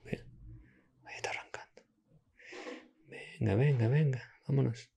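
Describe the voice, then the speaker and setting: A man in his thirties with a Spanish accent from Spain and a husky, breathy voice, muttering candidly between ASMR takes. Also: medium pitch, moderate pace, warm tone. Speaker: ASMR video creator.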